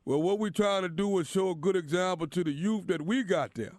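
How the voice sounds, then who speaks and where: Officious church deacon played by a man, high voice, halting, folksy delivery for a prank call, dry sound. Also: darkish tone, no room sound, lightly acted. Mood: insistent, placating. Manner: halting, repeats words, folksy. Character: bumbling, stammering church deacon, officious and apologetic prank caller.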